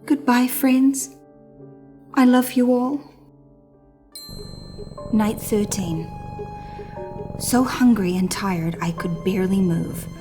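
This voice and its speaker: Woman as melodramatic little boy hamster, creaky-voiced. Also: medium pitch. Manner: melodramatic storytelling with hushed suspense and emphatic exclamations. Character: dramatic, anxious little male pet hamster telling his diary. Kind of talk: children's storybook reading.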